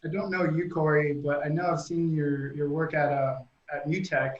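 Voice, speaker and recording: A man's voice: fairly high pitch, flat delivery, moderate pace. A man hosting a live stream in a casual, chatty style. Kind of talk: casual chat. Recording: roomy, dull lo-fi call audio.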